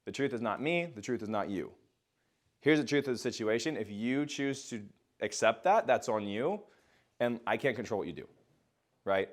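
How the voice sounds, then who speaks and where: A man's voice: mid-range voice, expressive, moderate pace. A man giving a blunt, motivational talk to a small group and answering questions, swearing freely. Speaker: speaker and coach. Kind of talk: motivational speech and Q&A.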